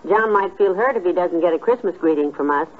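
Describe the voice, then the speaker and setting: Good-natured wife acted by a woman, gently teasing, 1950s radio sitcom, thin phone-like sound. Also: on the low side, brisk, lightly acted.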